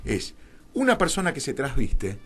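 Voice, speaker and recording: An Argentine man telling a story as a radio interview guest, emphatic, with rhetorical questions and dramatic pauses. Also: medium pitch, wildly swooping pitch, a bit bright. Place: radio studio.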